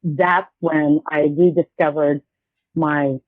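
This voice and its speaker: A woman's voice: low-pitched, fairly even intonation, takes it slowly, dry recording. A woman in her fifties, a guest in a casual interview, talking in a rambling, hesitant way with many restarts over a tinny phone-like line. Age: 50s.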